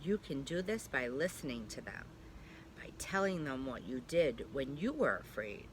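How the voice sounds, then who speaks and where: A woman's voice: very deep voice, very animated, normal speed, a little hiss or hum. A woman pre-K teacher reading a picture book aloud to young children, speaking simply and directly to them with little check-in questions.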